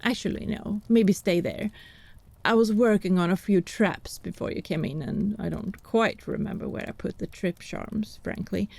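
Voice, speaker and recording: A woman's voice: medium pitch, normal speed, vocal fry, darkish tone, no echo. A woman playing a wry, irreverent witch and healer in a fantasy audio drama, wordy and sardonic. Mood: dry, playful. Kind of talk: fantasy audio roleplay acting.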